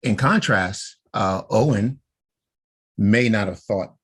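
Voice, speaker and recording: A man's voice: on the low side, slow, a bit bright, husky. A man co-hosting a casual podcast, laying out his fan theories and often checking in with "right?". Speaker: podcast co-host.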